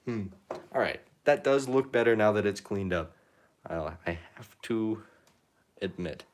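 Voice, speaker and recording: A young man chatting casually while painting on a live stream, rambling and self-deprecating, his pitch rising at the ends of phrases. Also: lively intonation, a little slow. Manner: rambling, self-deprecating, reads chat comments aloud. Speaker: painting livestreamer.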